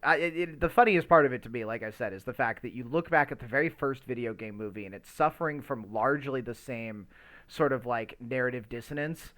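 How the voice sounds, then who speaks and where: A man's voice: mid-range voice, a little fast, fairly bright tone. A man talks casually as a podcast co-host, in a mid-range voice. He rambles through his points, often restarting and doubling up words as he searches for the next phrase. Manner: rambling, stammers over repeated words. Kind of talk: casual movie discussion chat.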